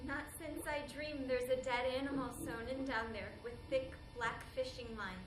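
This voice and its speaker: A woman's voice: high voice, fairly even intonation, moderate pace, distant mic, lightly acted. A woman performing a theatrical monologue as a traumatized, haunted war survivor, numb and grieving. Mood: horrified. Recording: echoey hall, clear background noise.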